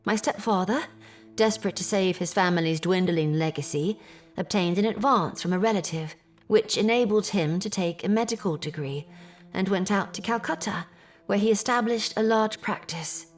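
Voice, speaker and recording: An actress playing a troubled young Victorian gentlewoman, telling her story formally in an audio drama. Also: medium pitch, normal speed, faint music behind, natural voice. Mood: grave, composed. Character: distressed Victorian English gentlewoman recounting family misfortune. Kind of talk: period audio drama acting, narrative monologue.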